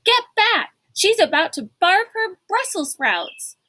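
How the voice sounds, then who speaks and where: A woman voicing a bold, quick-thinking young girl in a storybook, with an urgent, forceful manner. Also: very high-pitched, sing-song, voice pushed up, exaggerated voice. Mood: urgent shouting.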